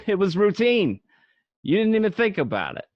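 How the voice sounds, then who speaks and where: A man's voice: sits high, sing-song, normal speed, dry recording. Guy acting a boastful mobster telling his story, radio play, dry kinda muffled home audio. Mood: contemptuous, cocky. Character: cocky gangster narrating his life of crime. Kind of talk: amateur radio play monologue narration. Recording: dry, dull home recording.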